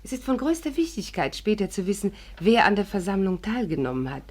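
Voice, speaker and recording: Polite amateur sleuth, mid-pitched. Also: female voice, sing-song, a little fast, a little mellow. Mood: courteous. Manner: earnest, courteous, articulate. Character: clever, polite amateur sleuth bluffing as secretary. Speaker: heroine and narrator. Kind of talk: 1950s German radio play acting and narration.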